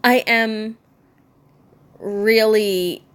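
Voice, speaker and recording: A woman in her mid-thirties, speaking candidly in a personal vlog, subdued and halting, with frequent ums and restarts. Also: medium pitch, fairly even intonation, fairly bright tone, smooth. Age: 30s. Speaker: vlogger. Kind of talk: personal vlog talking to camera.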